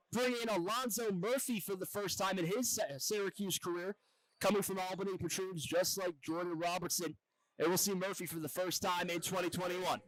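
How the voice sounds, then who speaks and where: A man's voice: high voice, fairly bright tone, poor audio. A man doing live play-by-play commentary of a basketball game for a TV broadcast.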